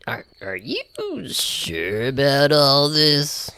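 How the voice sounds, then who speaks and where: A man's voice: medium pitch, lively intonation, slow, pushed and bright, slight background noise. A man playing a confused, kindhearted, folksy small-town character in an audio drama, with a hesitant manner. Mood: gently doubtful. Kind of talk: scripted audio drama acting.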